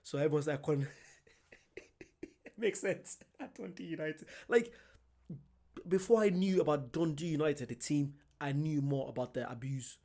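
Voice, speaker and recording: An excitable young man chats through a reaction video. His voice sits a little high and bubbles with energy, and he breaks into laughter often. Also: unhurried. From Ireland. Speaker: reaction vlogger. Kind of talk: casual reaction commentary.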